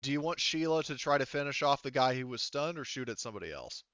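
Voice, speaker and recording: A man's voice: medium pitch, expressive, fast, fairly bright tone, smooth, no room sound, lo-fi sound. A man speaking informally as a game master, narrating play and calling rules, with some swearing. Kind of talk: casual tabletop game narration and rules calls.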